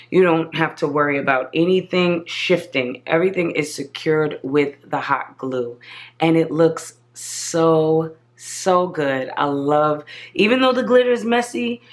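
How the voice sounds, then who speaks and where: Slow, hoarse woman crafting. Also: sits low. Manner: chatty, talks viewers step by step. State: slightly hoarse. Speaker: craft channel host. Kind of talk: casual crafting tutorial voice-over.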